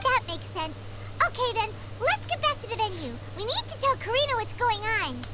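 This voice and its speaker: A woman voicing a small, childlike, chirpy and jittery fairy companion in a video game, squeaky and inquisitive. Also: sing-song, brisk, very noisy. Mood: businesslike. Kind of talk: English video game voice acting. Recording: loud game music behind.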